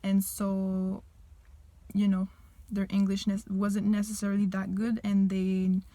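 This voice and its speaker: Young woman, Quebecois accent, French native speaker, casual vlog chat, candid, reflective, medium pitch, dry recording. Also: completely flat delivery, dark tone, no room sound. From Quebec, Canada. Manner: candid, reflective, pausing mid-thought to rephrase. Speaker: vlogger. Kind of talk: casual personal chat to viewers.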